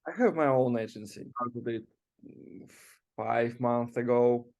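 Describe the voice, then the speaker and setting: A man from Russia who owns an agency, speaking slowly and haltingly. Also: mid-range voice, creaky, darkish tone, highs cut off. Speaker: agency owner.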